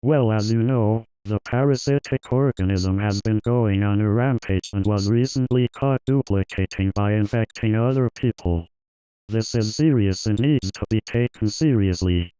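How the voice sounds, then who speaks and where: A man's voice: lowish voice, normal speed, warm tone, smooth, no room sound, low-quality recording. A man reading a scripted news bulletin as an anchor.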